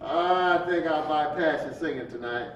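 A man's voice: a little slow, natural voice. A man in a staged reading playing a jovial, impatient preacher who chides his friend in folksy banter. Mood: impatient, friendly. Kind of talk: staged play reading, conversational dialogue. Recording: dull, echoey, distant hall with rumble.

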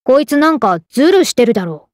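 A woman's voice: high-pitched, sing-song, fast, dry recording, studio quality. A woman voicing a cheeky, blunt female spirit companion in a game, with tomboyish speech. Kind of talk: game character voice lines.

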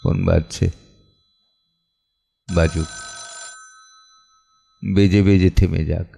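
Man acting anguished, slow-spoken middle-aged clerk father. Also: deep voice, flat delivery, creaky, dark tone, slight background noise, full character voice. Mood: weary despair. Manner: theatrical, deliberate, pausing between short phrases. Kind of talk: dramatic monologue in a Bengali audio play. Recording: music under the voice. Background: background music.

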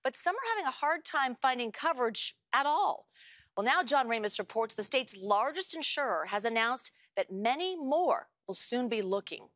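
Woman anchor reading the news, slightly high, dead dry studio. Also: moderate pace, dry recording. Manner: polished, even delivery.

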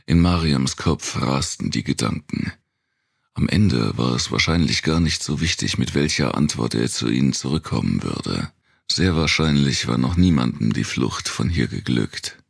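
Male narrator for dystopian audiobook: rock-bottom pitch, husky, dramatic scripted read. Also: animated, moderate pace, no echo, clean studio sound.

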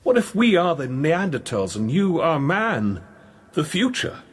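Weary, anguished priest. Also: male voice, on the high side, big pitch swings, a little slow, husky, full character voice. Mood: fearful, intense. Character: weary, guilt-ridden male priest, anguished and searching. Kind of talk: radio drama acting.

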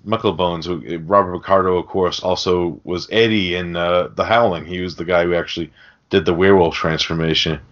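A man with a very low voice talks casually about films as a podcast co-host. He explains details with sweary enthusiasm, and the recording is slightly dull and lo-fi. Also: steady tone, a little fast, fairly bright tone. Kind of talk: casual film discussion.

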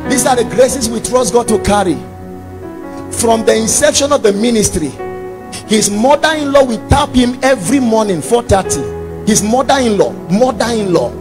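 A man's voice: very high-pitched, animated, normal speed. A man with a Nigerian accent preaching an impassioned sermon, emphatic and repeating his phrases. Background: background music.

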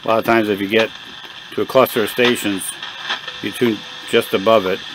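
A man giving a casual hands-on demonstration, thinking aloud in a halting, unhurried way. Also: on the low side, fairly even intonation. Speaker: hobbyist radio repairer demonstrating equipment. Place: indoor home electronics workbench. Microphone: handheld camera mic. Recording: noisy handheld camera recording in workshop.

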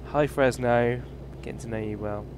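A man's voice: medium pitch, fairly even intonation, moderate pace. British man, thirties, rambling self-mocking stream chat, truck engine droning behind. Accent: British English. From England. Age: 30s. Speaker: livestream host. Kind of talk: casual gaming livestream chat. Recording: game engine noise behind. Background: truck engine and road noise from the game.

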